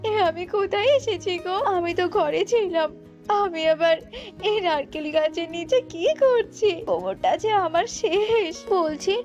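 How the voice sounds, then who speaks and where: A plaintive young cartoon wife, put on by a woman narrator with an extremely high-pitched voice. She sounds confused and complaining, in dull, lo-fi audio. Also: measured pace, darkish tone, faint music behind. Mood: pained, confused. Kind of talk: Bengali cartoon voice acting.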